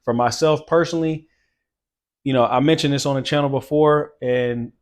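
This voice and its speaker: A man in his late thirties speaks as a fitness channel host in a motivational style. His voice sits at an average pitch for a man. He is earnest and emphatic, often repeating a phrase to drive it home. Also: fairly even intonation, moderate pace. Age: late 30s. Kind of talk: motivational talk to camera.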